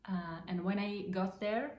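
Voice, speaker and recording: A woman with an Italian accent, a native Italian speaker, hosting a casual crafts podcast and showing her projects in halting English full of fillers and restarts. She records at home. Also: medium pitch, a little mellow. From Italy. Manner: hesitant, halting, many fillers and self-corrections. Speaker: podcast host. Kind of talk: casual show-and-tell of crafts. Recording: echoey room.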